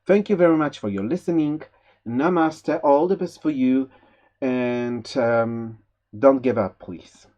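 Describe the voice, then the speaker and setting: A man's voice: mid-range voice, unhurried. Hesitant man with a Polish accent. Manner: hesitant, many fillers and restarts; gentle, spiritual advice. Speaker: tarot reader. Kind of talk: monthly tarot forecast. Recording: slightly dull lo-fi sound.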